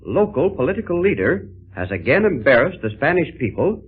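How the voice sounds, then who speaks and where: A man's voice: on the high side, sing-song, brisk, breathy edge, exaggerated voice. A man playing a wry, plucky cameraman adventurer with a dry, clipped manner in a 1930s radio serial. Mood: reading aloud. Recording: tinny, narrow-band 1930s radio recording.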